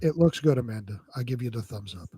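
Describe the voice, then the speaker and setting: A man co-hosting in casual chat, wry and teasing, with a halting delivery. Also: mid-range voice, animated, mellow, slightly rough, no room sound. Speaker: co-host.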